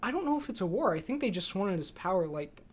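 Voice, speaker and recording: A man's voice: high-pitched, big pitch swings, fast talker. A young American man co-hosting a podcast in a casual, conversational style. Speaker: podcast co-host. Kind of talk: casual chat.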